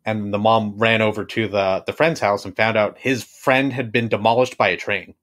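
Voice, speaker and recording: A man's voice: lowish voice, steady tone, moderate pace, fairly bright tone. A man co-hosting a podcast, telling a researched anecdote in casual chat and checking in with "right?". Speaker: podcast co-host.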